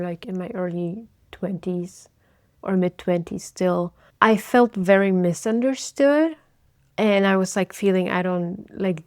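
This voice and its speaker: A woman in her thirties, originally from Bulgaria, giving a thoughtful personal life update and often pausing to search for words. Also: on the low side, a little mellow, no room sound, clean studio sound. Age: 30s. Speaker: vlogger.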